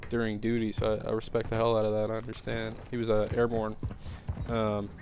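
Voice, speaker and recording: A man's voice: lowish voice, monotone, fairly quick, darkish tone. A young man with a nasal, congested voice, chatting casually while playing a shooter, rambling with many fillers and restarts. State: congested from sickness. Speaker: gaming streamer.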